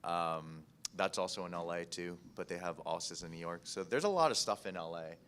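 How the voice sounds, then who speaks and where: A man's voice: sits low, brisk, a bit bright, a little hiss or hum. An American man speaking informally and conversationally as a podcast producer on a panel. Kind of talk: casual panel discussion.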